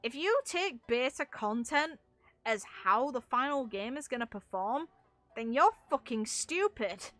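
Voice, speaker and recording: Young adult female gaming creator, forceful and blunt, off-the-cuff commentary, dry close recording. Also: high voice, lively intonation, unhurried, no room sound, soft background music. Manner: blunt, swearing freely. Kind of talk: casual opinionated game commentary.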